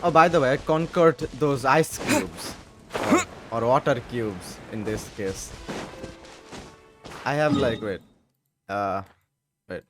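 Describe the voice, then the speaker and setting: A man giving casual playthrough commentary, halting and rambling, with some mild swearing. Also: medium pitch. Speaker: gaming commentator. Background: game music.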